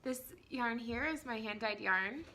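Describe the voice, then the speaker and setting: A woman in her thirties hosting a knitting podcast from home, chatting casually with many ums and small laughs, her voice hoarse from a cold. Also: fairly high pitch, a little slow, sharp tone, a little hiss or hum. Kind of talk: casual chat to camera. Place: at home, indoors.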